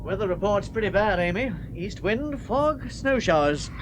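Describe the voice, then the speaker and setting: An actor playing a brisk, matter-of-fact airfield ground officer in a radio play. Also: male voice, high voice, animated, music in the background. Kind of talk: 1970s radio drama acting.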